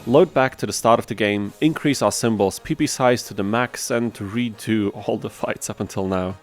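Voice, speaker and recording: A man's voice: on the low side, normal speed. A man hosting a video game challenge, reading an informal scripted narration with wry, dryly sarcastic asides. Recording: faint music behind the voice.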